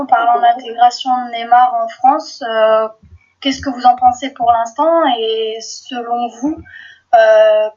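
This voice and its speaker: A woman's voice: on the high side, darkish tone, lo-fi sound. A woman co-hosting a football podcast, asking questions with many hesitant fillers.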